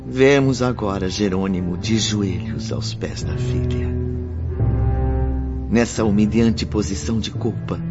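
A man with a Brazilian accent narrating a radio drama in a dramatic, scripted style. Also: fairly low pitch. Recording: dull lo-fi with music behind.

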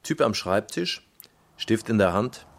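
An observer in a radio play. His fairly low voice is slow and repetitive, setting out small actions in short staccato pieces. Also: lively intonation, creaky. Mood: observing. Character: observer tersely reporting a writer's every movement.

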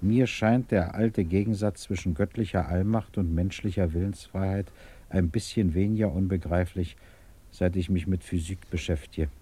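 An actor in a German radio drama playing a calm, patient male interrogator who probes with formal, measured moral earnestness. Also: low voice, slightly rough. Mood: patient, earnest.

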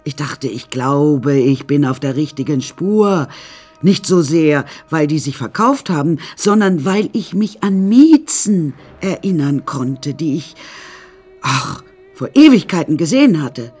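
A woman's voice: low-pitched, expressive, a little slow, a little mellow, slightly rough, exaggerated voice. A woman playing an eccentric painter who tells her troubled life in a wry, rambling, matter-of-fact way, as radio drama narration.

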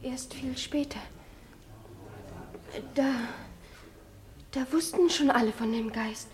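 Frightened young girl, high and slow, halting testimony, 1950s radio drama with light hiss. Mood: anxious. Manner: halting, repeating herself.

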